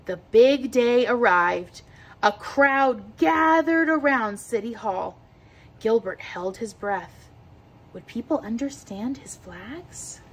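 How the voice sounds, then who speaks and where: Slow-paced, higher-voiced woman reading a storybook. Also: lively intonation, slightly rough, low-quality recording. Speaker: read-aloud teacher. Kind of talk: children's picture book read-aloud.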